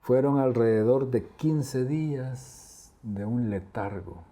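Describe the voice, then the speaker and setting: A man's voice: medium pitch, slow pace, warm tone. A middle-aged or older man with a Salvadoran accent, recollecting the past conversationally in an interview. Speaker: interviewee giving testimony.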